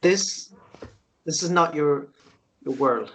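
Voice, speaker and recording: An actor in a table read playing an anguished, tender man, speaking in halting, repeated pleas. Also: a little high, background noise. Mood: anguished, reassuring. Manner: halting, repeating himself, pleading. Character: anguished, tender man pleading for his beloved. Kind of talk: emotional table read drama acting.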